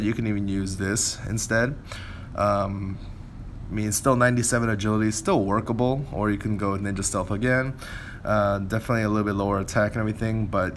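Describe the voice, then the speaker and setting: A man's voice: fairly low pitch, fairly bright tone, a little hiss or hum. A man giving casual, unscripted game walkthrough commentary in a conversational way, often hedging and correcting himself. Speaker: game video creator.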